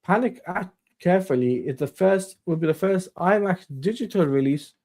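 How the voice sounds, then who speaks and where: A man's voice: high-pitched, moderate pace, darkish tone. A man hosting a casual live stream, reading out news items in a rambling way while losing his voice. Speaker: stream host.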